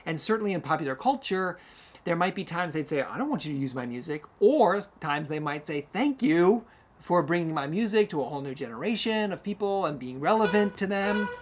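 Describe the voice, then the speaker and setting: A man's voice: a little high, animated, brisk. A man hosting a music talk, telling history stories casually and animatedly, acting out short quotes as he goes. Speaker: host, music educator and conductor. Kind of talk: casual storytelling about classical music history.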